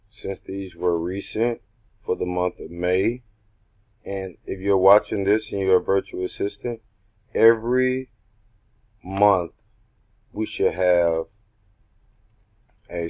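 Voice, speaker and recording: Very low-voiced man explaining onscreen steps. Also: a little mellow, lo-fi sound. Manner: loose and conversational, thinking aloud with restarts. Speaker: real estate investor training assistants. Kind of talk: screen-recorded tutorial walkthrough.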